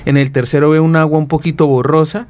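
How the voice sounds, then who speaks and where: A man's voice: on the high side, little pitch movement, fast talker. A Colombian man talking casually and conversationally as the host of an interview show. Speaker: radio or podcast host.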